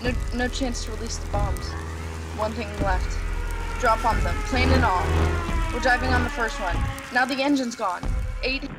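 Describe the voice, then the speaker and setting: A woman's voice: a little high, moderate pace, over loud music, lightly acted. Woman as terse bomber pilot over backing sound. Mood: urgent. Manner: terse, telegraphic. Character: grave military bomber pilot radioing doomed attack report. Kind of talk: radio play acting. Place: outdoors beside a wooden building.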